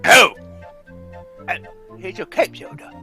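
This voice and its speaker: An actor playing a bitter, lovesick male circus clown in a 1940s-style radio drama table read, speaking in a brooding, low manner. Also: animated, slow, creaky, bright, forceful tone, faint music behind, heavily acted. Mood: sheepish.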